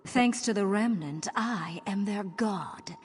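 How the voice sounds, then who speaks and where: A woman's voice: medium pitch, takes it slowly, slight background noise, lightly acted. A woman playing a seductive, domineering vampire noblewoman in a video game, purring and teasing with lofty, mocking sweetness. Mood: gloating.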